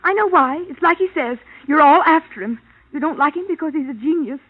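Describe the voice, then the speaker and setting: A woman's voice: high-pitched, expressive, a little fast, a little hiss or hum. A woman with an American accent playing a naively devoted, defensive wife in a vintage radio drama, earnest and emotional. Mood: indignant. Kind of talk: 1950s radio drama acting. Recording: dull, lo-fi old radio recording.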